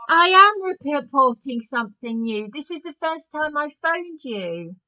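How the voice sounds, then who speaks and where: A woman speaks in a high, piercing voice, sounding distressed. She is an agitated caller making a complaint, pressing her point insistently and repeating herself. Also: lively intonation, a little slow, pushed and bright, dull audio.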